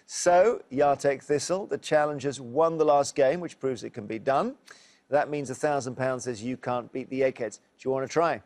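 A man's voice: medium pitch, moderate pace, darkish tone. A middle-aged man hosting a TV quiz show in a studio, chatty and jokey. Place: TV studio set.

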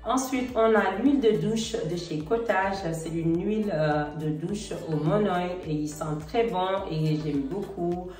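A middle-aged woman with a cold and a slightly congested voice, chatting casually to camera about products with a relaxed, hesitant manner. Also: lowish voice, a little slow, few pauses. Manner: relaxed, hesitant, many fillers. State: has a cold. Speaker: beauty vlogger. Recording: roomy, slightly distant, faint music.

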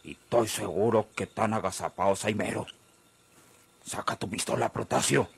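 A distrustful, threatening ranch boss with a rural northern Mexican accent. His voice is fairly low, husky and full of gravel. The delivery is old radio-serial drama. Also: fairly even intonation, normal speed, heavily acted. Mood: tense, plotting. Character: menacing, suspicious rural Mexican boss on a manhunt.

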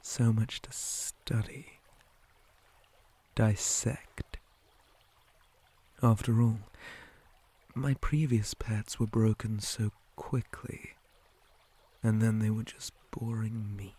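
A silkily cruel male spider creature, acted by a man in an ASMR roleplay. The voice is mellow and husky, often sinking to a whisper. It moves very slowly and deliberately, with formal, taunting menace and a possessive edge. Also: lowish voice, little pitch movement. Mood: cold, possessive. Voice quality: breathy, often dropping to a whisper. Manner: slow, deliberate, softly taunting, formal wording.

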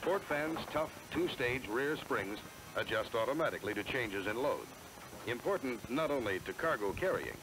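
Lively American man narrating a vintage sales film. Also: medium pitch, moderate pace, background noise. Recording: vintage 1970s film soundtrack. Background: music.

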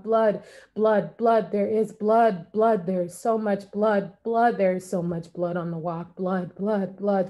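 A middle-aged woman reading poetry in a measured, deliberate way, like a clinical report, on a video call at home. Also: mid-range voice, mellow. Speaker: poet. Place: room at home. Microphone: computer mic on a video call.

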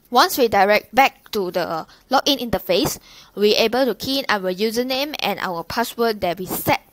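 A young woman walks through a software demo step by step, often pausing and leading with "so". Her voice sits in a middle range and sounds dry and close, with no room around it. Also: medium pitch, dry recording, poor audio. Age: young adult. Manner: hesitant, repeats "so" often. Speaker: student presenter. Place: indoors at a desk.

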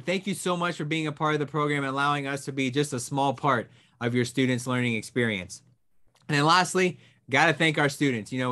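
A young man hosting a school program, introducing and interviewing a guest with an enthusiastic, energetic delivery. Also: on the high side. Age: young adult. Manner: enthusiastic, energetic, talking with his hands. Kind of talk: upbeat presenter introduction and interview. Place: small room with a brick wall and memorabilia.

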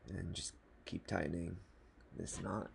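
A man calmly explaining a fishing knot step by step at a desk indoors. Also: sits low, creaky, a little hiss or hum. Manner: calm, matter-of-fact, unhurried. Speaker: fly fishing tutorial host. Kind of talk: step-by-step instructional talk. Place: small indoor room at a desk.

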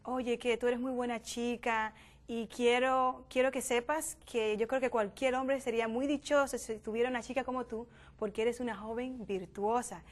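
A young woman from the Dominican Republic hosting a youth talk show in a TV studio, lively and quick to laugh. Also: fairly high pitch. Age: young adult. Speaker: host. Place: forest-themed TV studio set. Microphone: lapel mic.

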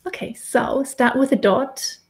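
A woman's voice: high-pitched, measured pace. A woman in her thirties with a German accent, casually teaching face painting on a live stream in a small room, chatty and laughing often. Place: small indoor room with decorated wall.

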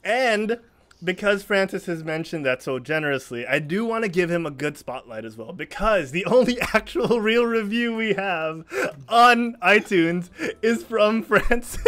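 A man's voice: sits high, expressive, unhurried, pushed and bright. A man hosting a casual gaming podcast over a video call, reading out listener reviews with a playful, announcer-like flair. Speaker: podcast host.

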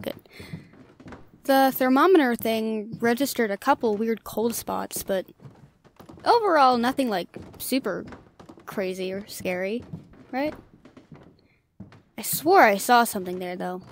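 A woman's voice: a little high, a little hiss or hum, natural voice. A woman acting a cocky young ghost-hunting vlogger in an audio drama, brash and teasing. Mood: nervous. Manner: brash, teasing, talks herself up.

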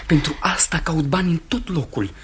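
An actor playing a lovestruck, impetuous young gentleman in an old radio play, ardent and declamatory. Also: on the high side, animated, a little hiss or hum. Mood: aggrieved, resolute.

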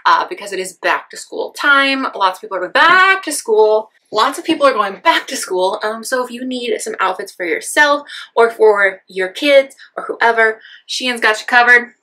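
Bubbly, bright-voiced sniffly woman vlogger. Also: a little high, animated, moderate pace. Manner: bubbly and gushing, many "so cute" exclamations. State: sniffly from a sinus infection. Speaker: fashion haul vlogger. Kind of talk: casual try-on haul chat. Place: decorated bedroom studio with clothing racks.

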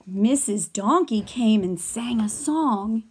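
A woman in her thirties reading a picture book aloud to children in a gentle, expressive way, explaining as she goes. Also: a little high, takes it slowly, a little hiss or hum. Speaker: storytime reader. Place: small furnished room at home.

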